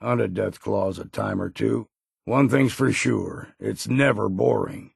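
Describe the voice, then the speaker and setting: Grizzled old hunter, American accent, heavy gravel, husky, blunt and folksy, wry, video game character. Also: male voice, fairly low pitch, slow, no echo, studio quality, exaggerated voice. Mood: wry, seasoned. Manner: folksy, plain-spoken, blunt. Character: grizzled old wasteland hunter, gruff, wry, world-weary.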